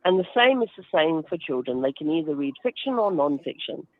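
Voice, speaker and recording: Woman explaining stuff in a radio interview, really animated, close dry sound, emphatic and teacherly. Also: low voice, brisk, no echo, phone-quality sound. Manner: instructive, emphatic, illustrates with everyday examples. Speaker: guest author and reading advocate.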